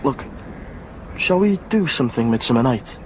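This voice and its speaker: An actor playing a cheeky teenage boyfriend, playful and persuasive. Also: male voice, mid-range voice, expressive, normal speed, slight background noise, lightly acted, rough recording. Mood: coaxing.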